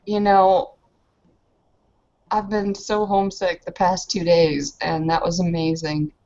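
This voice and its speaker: A woman's voice: fairly low pitch, little pitch movement, takes it slowly, darkish tone, lo-fi sound. Brash, jovial young werewolf woman, voiced by a player. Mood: jovial, cocky. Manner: sweary, casual, cheeky.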